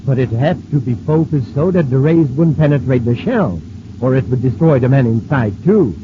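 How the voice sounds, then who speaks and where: Guy playing precise scientist doctor, middle pitch, old radio serial, tinny and noisy. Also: lively intonation, moderate pace, lightly acted. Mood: calm, precise. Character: measured, helpful male scientist doctor. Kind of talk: old-time radio sci-fi serial acting. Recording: thin, noisy old radio broadcast recording.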